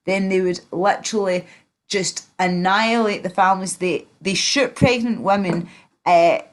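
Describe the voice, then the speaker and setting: A woman's voice: medium pitch, moderate pace. Glaswegian woman chatting bluntly. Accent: Glaswegian Scottish. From Scotland. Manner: rambling, blunt, swears freely. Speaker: livestream host. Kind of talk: casual livestream chat.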